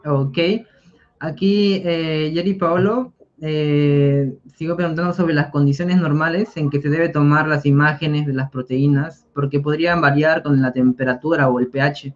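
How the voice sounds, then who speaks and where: A man moderating an online session reads out audience questions, polite and a little halting. His voice is slightly high, and the sound is dull and lo-fi. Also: steady tone. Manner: polite, reading questions with hesitations.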